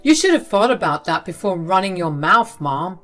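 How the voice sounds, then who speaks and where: A woman's voice: lowish voice, sing-song, normal speed, fairly bright tone, soft background music. A woman voicing an indignant adult son who scolds bluntly. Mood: reproachful. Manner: blunt, reproachful.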